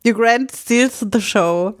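A woman's voice: on the high side, takes it slowly. A woman co-hosting a podcast in casual banter, playful and mixing in English phrases. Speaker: podcast co-host. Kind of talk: casual banter among friends.

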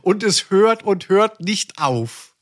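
A man's voice: high voice, lively intonation, takes it slowly. A man co-hosting a podcast in casual chat, musing and hesitant as he searches for words. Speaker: podcast co-host. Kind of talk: casual chat about radio plays.

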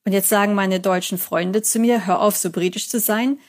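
A woman's voice: mid-range voice, fairly even intonation, moderate pace. A German woman in her thirties, a psychology lecturer, answering an interview in a chatty way and telling stories with quoted examples.

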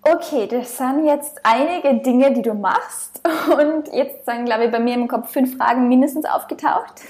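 A woman's voice: high voice, unhurried, a little mellow. A woman from Austria hosting a podcast, introducing her guest with upbeat enthusiasm. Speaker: podcast host. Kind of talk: podcast interview.

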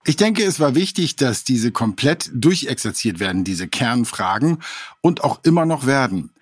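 A man's voice: mid-range voice, big pitch swings, normal speed, slightly rough, dry recording, studio quality. A man hosting a true-crime podcast, talking through a court case in a conversational, slightly wry way. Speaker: podcast host.

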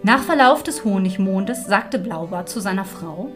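A woman reading a fairy tale as narrator, with expressive storyteller phrasing. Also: medium pitch, a little slow. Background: music.

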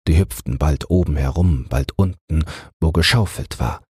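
A man narrating a fairy tale as a bedtime audiobook in a measured, soothing manner. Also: very deep voice, a little mellow, breathy edge, dry recording, clean studio sound.

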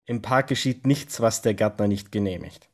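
A man playing a firm, low-voiced vampire. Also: normal speed, close to an everyday voice. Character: Nick, resolute male vampire with a dog. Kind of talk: improvised tabletop role-play audio drama.

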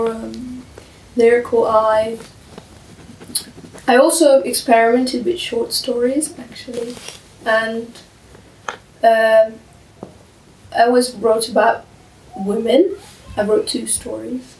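A woman whose first language is Hungarian, speaking slowly and thoughtfully in a casual podcast discussion, often searching for her words. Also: fairly high pitch, little pitch movement, a little mellow, a little hiss or hum. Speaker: podcast guest. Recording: echoey room, far from the mic.

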